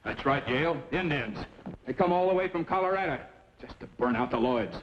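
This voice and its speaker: A man's voice: on the high side, animated, a little fast, natural voice. An actor playing a grave frontier townsman in an old-time radio western. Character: grave frontier townsman bearing bad news. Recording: muffled, hissy old radio recording.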